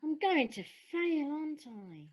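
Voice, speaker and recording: An anxious young-sounding actress character, voiced by a woman. Her pitch is high. She speaks earnestly and with self-doubt, in the natural manner of an audio play. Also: big pitch swings, unhurried. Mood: anxious, downcast. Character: anxious, earnest stage actress who uses a wheelchair.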